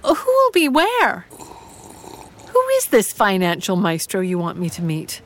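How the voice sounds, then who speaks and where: A woman's voice: medium pitch, wildly swooping pitch, slow, exaggerated voice. An actress playing a shrewd, genteel middle-aged mother in an audio drama, speaking formally and precisely with dry, sceptical wit. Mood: sceptical, resolute. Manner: formal, precise, dryly pointed.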